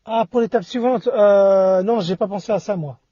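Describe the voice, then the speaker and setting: High-pitched husky man, halting, muffled lo-fi. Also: warm tone, dry recording. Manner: halting, repeats and reformulates phrases. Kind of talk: casual two-person discussion. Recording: dull lo-fi audio.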